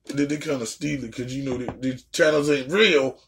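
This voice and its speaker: A man's voice: mid-range voice, fairly even intonation, moderate pace, slight background noise. A man chatting casually as a reaction host, blunt, slangy and profane, often repeating words for emphasis. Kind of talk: casual chat reacting to a story.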